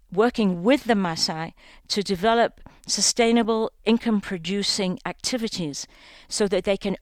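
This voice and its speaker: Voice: medium pitch, smooth, dry recording, clean studio sound. An interview guest from Kenya who founded a conservation organization, explaining at length in an articulate, flowing way.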